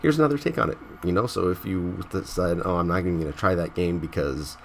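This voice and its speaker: A man's voice: low voice, fast talker, gravelly, slight background noise. A man hosting a casual gaming vlog, stuffy from a cold, rambling with many restarts and tag questions. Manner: rambling, restarts often, says "right?". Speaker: gaming channel host.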